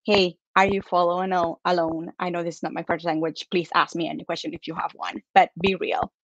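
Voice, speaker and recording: A woman's voice: on the low side, little pitch movement, brisk, fairly bright tone, no room sound, muffled recording. A woman whose first language is Spanish, speaking English with a Spanish accent, giving earnest practical advice on a video call panel. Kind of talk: casual panel chat.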